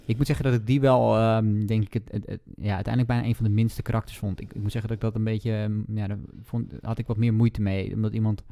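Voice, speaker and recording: Guy on a book podcast, creaky voice, super dry close sound, kinda hesitant, thinking out loud. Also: lowish voice, level tone, no room sound. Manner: halting, hedging, thinking aloud. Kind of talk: casual book discussion.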